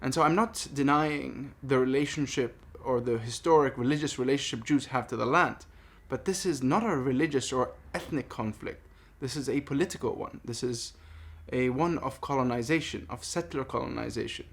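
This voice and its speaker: A man in his thirties from Palestine speaking in a personal monologue, earnest, deliberate and emphatic. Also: medium pitch, vocal fry. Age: 30s. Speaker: vlogger making a response video. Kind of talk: personal monologue addressed to camera.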